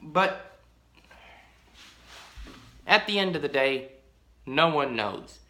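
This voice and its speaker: A young man talks slowly and loosely to a fixed camera in a small home room, trailing off into ums and restarts. His voice is bright and forward. The room gives it a noticeable echo. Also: on the high side, animated. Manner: rambling, drawn-out, many ums. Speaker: pipe-collecting vlogger. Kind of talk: casual show-and-tell.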